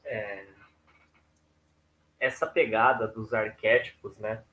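A man's voice: on the low side, steady tone, slow pace, a bit bright. A man with a Brazilian accent chatting casually as he moderates a group discussion. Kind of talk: casual chat. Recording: roomy, echoing sound with voices behind.

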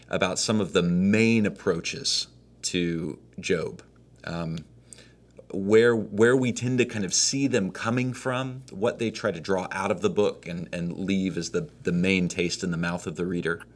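Very deep-voiced male host, halting. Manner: halting, searching questions with many restarts. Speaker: podcast host. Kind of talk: conversational podcast interview.

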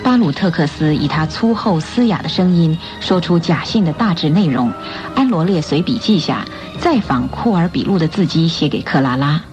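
A woman narrating a radio drama, telling the story in a measured, expressive, scripted style. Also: sits low. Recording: muffled lo-fi radio recording. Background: music.

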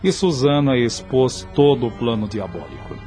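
A man's voice: mid-range voice, animated, moderate pace, dark tone. A man narrating a radio drama in a scripted, literary storytelling style. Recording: music under the voice.

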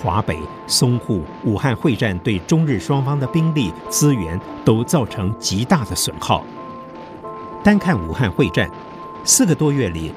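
A man narrating a scripted historical drama as its storyteller. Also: on the low side. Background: background music.

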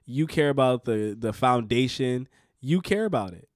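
A man's voice: medium pitch, animated, moderate pace, dry recording, studio quality. Earnest man in his twenties, coaching. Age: 20s. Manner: earnest, repetitive emphasis, coaching tone. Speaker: podcast co-host. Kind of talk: casual conversation.

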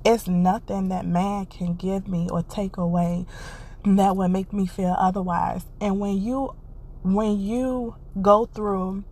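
A woman's voice: medium pitch, a little mellow, slightly rough. A woman hosting a podcast, giving an earnest, encouraging casual monologue and repeating her phrases, congested and stuffy. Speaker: podcast host.

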